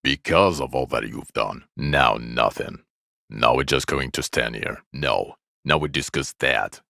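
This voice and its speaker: A male actor playing a bitter, exasperated, foul-mouthed police detective in a video game, scolding with weary sarcasm and contempt in a gravelly voice. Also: rumbling low, a little slow, sharp tone, dry recording, clean studio sound, heavily acted. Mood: scathing, exasperated. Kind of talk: video game character dialogue.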